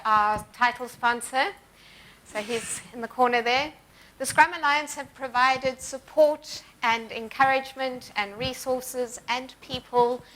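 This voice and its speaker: A woman organiser speaking on stage at a conference, thanking sponsors and introducing the keynote with frequent ums and pauses. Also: a little high, fairly bright tone, a little hiss or hum, rough recording. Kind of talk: conference welcome address. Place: conference hall.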